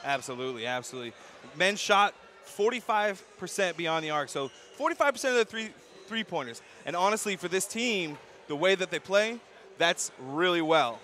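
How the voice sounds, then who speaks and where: A man's voice: high voice, expressive, moderate pace, bright, forceful tone. An American man hosting live pre-game basketball commentary, with gym noise behind him. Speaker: sports broadcast host and commentator. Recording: noisy gym ambience behind the voice.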